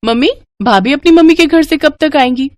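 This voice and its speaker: A woman's voice: high-pitched, fairly quick, slight background noise, pitched above the performer's own voice, natural voice. A woman voicing a polite young married daughter in a cartoon. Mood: eager.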